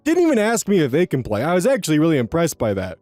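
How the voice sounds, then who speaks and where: Chatty young man with a mellow voice. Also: fairly high pitch, wildly swooping pitch, fast, no echo. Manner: rambling, chatty, swears, repeats words. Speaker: host reading and reacting to online posts. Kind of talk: casual commentary with story reading.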